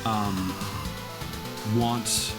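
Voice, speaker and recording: A man's voice: lowish voice, fairly even intonation, drawn-out words, over loud music. A man thinking aloud in an informal, self-deprecating way while commentating on a gaming livestream. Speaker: video game live streamer. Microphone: headset microphone.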